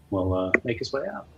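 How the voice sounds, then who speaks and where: A man playing a tabletop game, casually improvising narration of his character's actions in a halting way. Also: sits low, muffled recording. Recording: music behind the voice.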